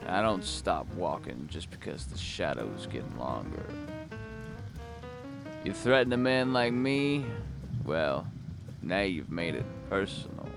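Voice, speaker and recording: An actor playing a world-weary, hard-boiled private detective, narrating a noir audio drama in a wry, brooding, gravelly voice. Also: male voice, low voice, big pitch swings, takes it slowly, heavily acted. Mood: defiant, wry. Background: music.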